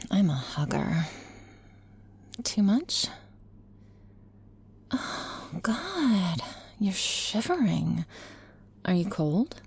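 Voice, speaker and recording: A woman playing a teasing, cynical, flirtatious boss in an intimate ASMR roleplay, sardonic and often slipping into breathy whispers. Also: medium pitch, a little mellow, full character voice. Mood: warm, solicitous.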